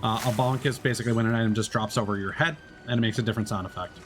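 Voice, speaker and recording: A man's voice: on the low side, steady tone, rapid-fire, fairly bright tone, slight background noise. A man streaming a game, chatting casually and explaining as he plays, with lots of fillers and restarts. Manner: rambling, many fillers and restarts. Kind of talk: casual gameplay commentary.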